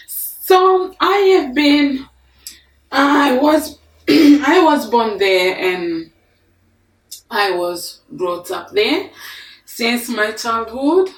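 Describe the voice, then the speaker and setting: Woman from Congo, slow deliberate storyteller, gravelly edge, echoey studio picked up from a distance. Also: mid-range voice. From Congo DRC. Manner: slow, deliberate, expressive with hand gestures. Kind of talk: personal life story to camera. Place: studio before a green screen. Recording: echoey room, mic at a distance.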